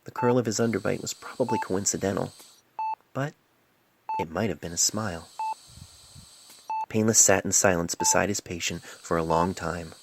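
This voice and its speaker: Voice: deep voice, normal speed, vocal fry, pauses often, noisy background. An amateur narrator reading a story dramatically into a cell phone. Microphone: cell phone mic. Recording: amateur phone recording with music behind.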